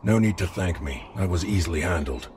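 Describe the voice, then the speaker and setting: Deep-voiced proud warrior lord. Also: male voice, lively intonation, fairly quick, crowd noise. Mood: modest. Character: proud fallen warrior lord, confident and determined. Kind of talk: medieval fantasy game cutscene acting.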